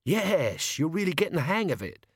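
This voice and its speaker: A male narrator acts out an old manservant in a fantasy tale, wry and colloquial. He coaxes his master deferentially, with a raised, very mobile pitch and a dry, close sound. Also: big pitch swings, normal speed, husky, no echo, heavily acted. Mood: coaxing.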